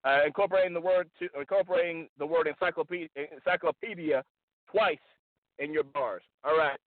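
A man from Virginia hosting a casual radio call-in show, excitable and repeating his words. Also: a little high, fairly quick, no room sound. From Norfolk, Virginia. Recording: dry phone-like lo-fi audio.

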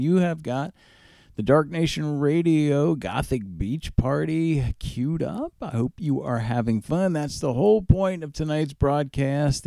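A male radio host with a relaxed, dark tone and a wide, lively pitch range. He presents music casually and speaks straight to the listeners, with gravelly creak in his voice. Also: no room sound. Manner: informal, chatty, addressing listeners directly.

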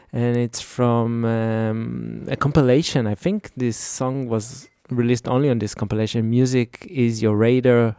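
Mellow-voiced male radio co-host. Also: medium pitch, clear, resonant tone, dry recording, studio quality. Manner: careful, informative, halting with fillers. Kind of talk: casual radio chat introducing tracks.

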